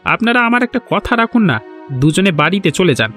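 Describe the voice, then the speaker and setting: Very high-pitched bold village stranger acted by a man. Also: big pitch swings, fairly quick, a bit bright, faint music behind. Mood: friendly, coaxing. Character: bold, cheeky male stranger visiting a village. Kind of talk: Bengali animated folk-tale dialogue.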